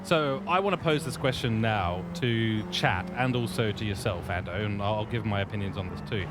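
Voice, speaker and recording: A man calling a sim race live as lead commentator, lively and playful, with self-deprecating asides. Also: fairly low pitch, moderate pace. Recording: steady background noise.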